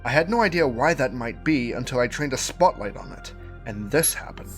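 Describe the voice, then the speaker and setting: A man playing a precise, intrigued robot scientist in an audio drama, technical and deliberate. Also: mid-range voice, lively intonation, soft background music, natural voice.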